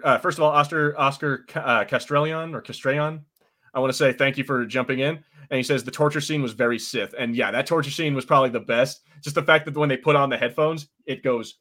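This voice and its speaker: A man's voice: mid-range voice, sharp tone. A man hosting a podcast, rambling in an easygoing, casual way with frequent laughs. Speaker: podcast host. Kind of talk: casual chat.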